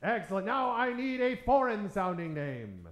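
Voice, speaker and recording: A man's voice: high-pitched, big pitch swings, moderate pace. A man hosting a live improv show in mock old-time radio style, theatrical and tongue-in-cheek, addressing a theater audience. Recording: roomy, echoing theater stage.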